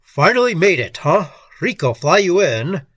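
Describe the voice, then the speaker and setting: A man voicing a gruff, bullying crime boss in a story reading, with a husky voice and a barking, bossy delivery. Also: high voice, big pitch swings, a little slow, a bit bright, no echo, studio quality, voice pushed up, heavily acted. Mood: bossy, brusque. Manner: barking, domineering.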